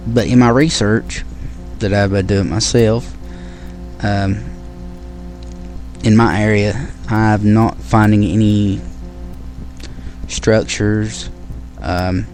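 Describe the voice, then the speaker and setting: A man's voice: fairly low pitch, steady tone, slow pace, long pauses, darkish tone, soft background music. A man hosting his own show, talking casually to listeners in a rambling way with many fillers and restarts. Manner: friendly sign-off to listeners. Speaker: podcast host. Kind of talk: casual solo show talk.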